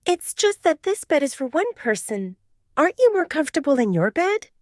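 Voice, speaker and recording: Casting: woman playing timid young romance heroine, soft, high, very expressive delivery. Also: moderate pace, dry recording, clean studio sound. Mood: flustered. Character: soft-spoken, hesitant, inexperienced young woman in werewolf pack.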